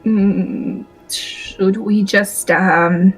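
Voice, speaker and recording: A woman's voice: mid-range voice, fairly even intonation, drawn-out words, slightly rough, low-quality recording. A woman talking casually and improvising as a player in a tabletop roleplaying game. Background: quiet music.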